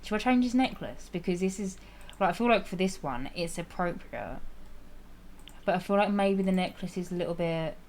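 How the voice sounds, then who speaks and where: Woman, game streamer, casual chatty talk, slightly raspy, low-ish voice. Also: lively intonation, moderate pace, darkish tone, a little hiss or hum. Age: adult with a school-age child. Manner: chatty, laughs often, answers chat. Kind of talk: casual live-stream chat while playing.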